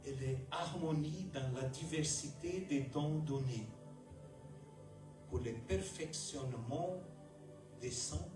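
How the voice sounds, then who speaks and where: Slow Brazilian-accented pastor, distant roomy sound. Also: male voice, mid-range voice. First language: Portuguese. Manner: slow, measured delivery with pauses between short phrases. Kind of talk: sermon.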